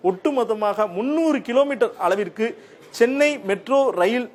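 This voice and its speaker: A man reports the news in a distinctly high voice. He explains details step by step, as if guiding viewers through graphics. His wording is semi-formal, moving between report phrasing and everyday speech. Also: moderate pace, a little mellow.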